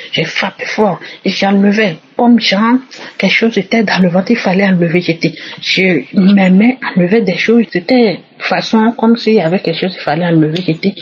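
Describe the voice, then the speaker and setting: A woman's voice: medium pitch, slightly rough. A woman giving an emphatic personal testimony, repeating her phrases, on a muffled recording.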